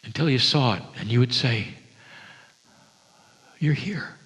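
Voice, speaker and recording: A man giving a spiritual sermon to an audience, speaking gently and reverently with deliberate, dramatic pauses. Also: medium pitch, animated. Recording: long hall reverb.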